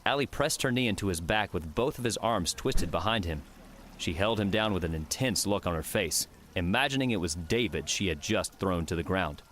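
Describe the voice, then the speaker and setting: A man narrates a novel in a low voice. It is scripted storytelling, with faint noise in the recording. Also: lively intonation, normal speed, a bit bright. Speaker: audiobook narrator.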